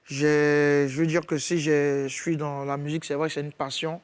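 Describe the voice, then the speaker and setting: A male singer of Ghanaian and Ivorian background answers interview questions at an easy pace. His voice sits a little high, and he often pauses to find the right words. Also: clear, resonant tone. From Ghana and Côte d'Ivoire. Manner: relaxed, searching for words, laughs easily. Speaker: singer guest.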